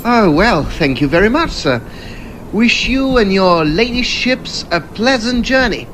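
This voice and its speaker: Man acting a high-pitched English luggage porter. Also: very animated, a little slow, a bit bright, a little hiss or hum, full character voice, low-quality recording. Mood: grateful, hearty. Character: gruff, deferential Edwardian luggage porter, a man. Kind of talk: audio drama acting.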